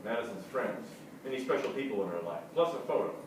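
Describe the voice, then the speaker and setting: A very deep-voiced woman acting as a male detective in a stage reading, clipped and cool. The delivery moves around in pitch, heard from off in a very echoey theatre. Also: animated, fast. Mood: cool, businesslike. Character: Bernie, terse cool male detective questioning clients. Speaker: actor in reader's theatre. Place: small theatre stage before an audience. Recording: echoey theatre, distant mic, faint noise.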